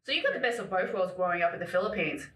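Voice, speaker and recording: A young woman with an Australian accent talks in a playful, teasing way, her pitch jumping around animatedly. Her bright voice sits a little back from the mic in an echoey room. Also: expressive, racing, far from the mic, a little hiss or hum. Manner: playful, teasing, laughs often. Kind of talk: casual chat.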